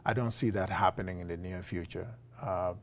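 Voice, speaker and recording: A Liberian-accented man talks in an easy interview, often pausing on fillers and chuckling between thoughts. His voice sits low and has a gravelly edge. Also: steady tone. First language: Liberian English. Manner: chuckling, many uh fillers and restarts. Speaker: philosophy professor guest. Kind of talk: conversational interview.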